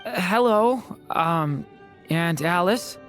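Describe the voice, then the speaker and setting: A woman playing a shy, earnest, gentle young boy in a video game, speaking hesitantly and politely. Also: lowish voice, expressive, slow pace, over music. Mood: shy, nervous. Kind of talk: video game character acting.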